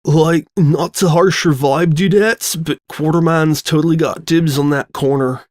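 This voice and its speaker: A man voicing a mellow, spaced-out stoner boy with drawn-out, slangy speech in a comic story. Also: on the high side, fairly even intonation, dry recording, clean studio sound, pitched above the performer's own voice, lightly acted. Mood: mellow warning. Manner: slow, drawn-out surfer-slang delivery.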